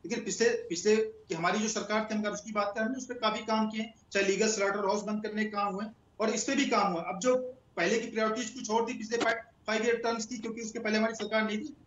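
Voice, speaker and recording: High-voiced man in an echoey room. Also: fairly bright tone, muffled recording, slight background noise. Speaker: debate panelist for the ruling party. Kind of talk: TV debate argument.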